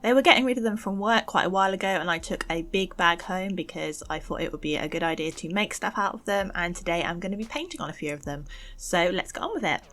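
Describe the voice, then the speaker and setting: Low-pitched young woman narrating crafts. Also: lively intonation, fast talker, a bit bright. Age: young adult. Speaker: host of an art channel. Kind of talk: casual craft voice-over narration. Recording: music behind the voice. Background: faint background music.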